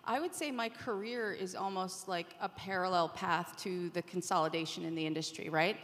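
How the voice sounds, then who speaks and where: A woman's voice: fairly low pitch, normal speed, a bit bright. A middle-aged woman speaks casually and informally as a conference panelist. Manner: chatty, informal. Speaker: panelist, audio executive. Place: on stage at a conference session. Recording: reverberant conference room sound.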